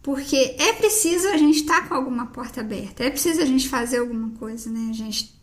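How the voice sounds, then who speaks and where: A woman's voice: high-pitched, lively intonation, fairly quick. A woman co-hosting a podcast, thoughtfully explaining ideas in casual conversation. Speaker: podcast co-host. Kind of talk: casual chat.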